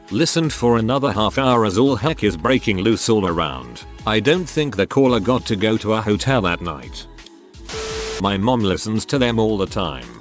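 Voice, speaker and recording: A man reading online stories aloud as scripted narration. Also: fairly low pitch.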